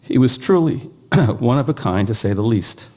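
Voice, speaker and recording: An older man delivering a eulogy, partly read aloud, halting and pausing between short phrases. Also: medium pitch, lively intonation, mellow. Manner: halting, with pauses. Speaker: eulogist, brother of the deceased.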